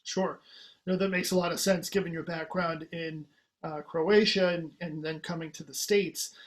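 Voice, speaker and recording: A man's voice: a little high, steady tone, moderate pace. A man hosting an interview, asking questions in a polite, encouraging way. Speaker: host. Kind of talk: interview conversation.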